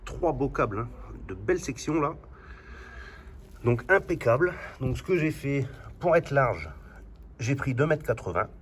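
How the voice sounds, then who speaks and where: A man's voice: medium pitch, animated, measured pace, pauses often, slight background noise. A man hosting a hobby DIY video, chatting informally and thinking aloud as he demonstrates by hand. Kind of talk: casual hands-on demonstration.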